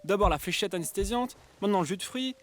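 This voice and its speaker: A man's voice: high voice, fast talker, faint music behind. A man playing a chatty, teasing companion in a science fiction audio drama, talking informally. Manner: teasing, informal, animated talk. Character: chatty, teasing young male companion of space adventurer. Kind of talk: French audio drama dialogue.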